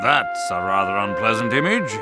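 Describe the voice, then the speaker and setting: An actor playing a polite, unflappable British tank officer in a war game, with an upper-class English accent and genteel, understated delivery. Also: male voice, lowish voice, sing-song, moderate pace, loud music behind the voice. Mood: genteel understatement. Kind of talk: video game cutscene acting.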